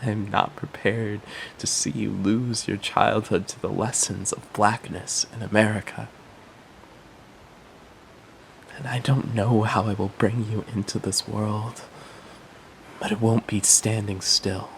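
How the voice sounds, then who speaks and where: A man performing a poetic monologue as an earnest, troubled would-be father, speaking in a measured, reflective way. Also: lowish voice, level tone, gravelly, slight background noise, poor audio. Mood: solemn, resolute. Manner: measured, reflective, spoken-word delivery.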